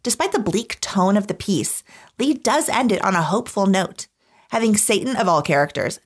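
A woman reading scripted documentary podcast narration in an articulate, measured storytelling manner. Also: medium pitch.

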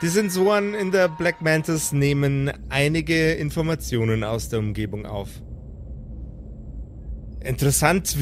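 Slow-paced male game master narrating. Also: a little high, slight background noise. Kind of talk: improvised story narration.

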